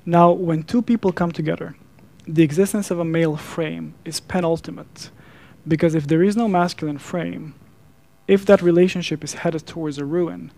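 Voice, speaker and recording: A man from Serbia with a Serbian accent, a native Serbian speaker, giving an earnest talk in a deliberate, formal manner with emphatic pauses. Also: on the high side, fairly even intonation, vocal fry, mellow. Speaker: speaker, coach and podcaster. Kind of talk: earnest public talk to a digital audience.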